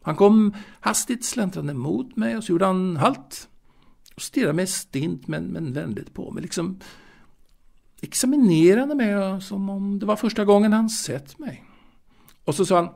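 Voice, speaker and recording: A man playing a gravelly old man reminiscing. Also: sits high, lively intonation, a little mellow, exaggerated voice. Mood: nostalgic, confiding. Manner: halting, musing, with hesitations and repeated words. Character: old man wistfully reminiscing about his 1920s Stockholm boyhood. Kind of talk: audio drama monologue.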